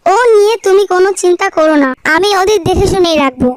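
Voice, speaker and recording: A woman voicing a cruel, scolding jackal housewife in a Bengali cartoon, bossy and sharp-tongued. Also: extremely high pitch, fast talker, no room sound, studio quality. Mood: scheming. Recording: music behind the voice.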